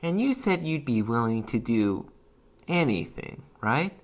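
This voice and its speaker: A man's voice: mid-range voice, very animated, measured pace. A man voicing an awkward male summoner in a fantasy story, nervous yet sly, with a hesitant manner. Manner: hesitant, stammering.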